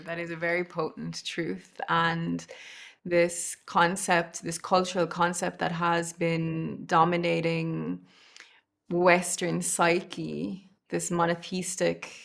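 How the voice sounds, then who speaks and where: Low, gravelly woman host, measured. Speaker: podcast host. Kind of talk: podcast conversation.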